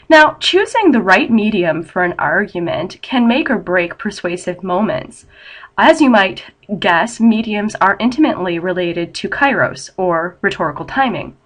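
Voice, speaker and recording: A woman's voice: mid-range voice, fairly bright tone. A young adult woman giving an online college English lecture while battling a head cold, sounding nasal and a bit scratchy, explaining steadily with frequent ums.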